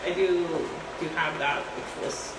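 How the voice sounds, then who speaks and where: A woman in her forties with a Latvian accent, her voice on the low side, chatting casually as a guest. She laughs easily and restarts her sentences as she goes. She sounds set back from the mic in an echoey room with steady noise behind her. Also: unhurried, distant mic. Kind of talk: casual chat.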